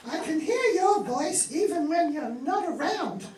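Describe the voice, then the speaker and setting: A woman's voice: very high-pitched, lively intonation, a little slow, darkish tone. A woman playing an exasperated young mother, embarrassed by her parents, in a live comic radio play. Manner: exasperated, pleading. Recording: distant echoey room, some noise.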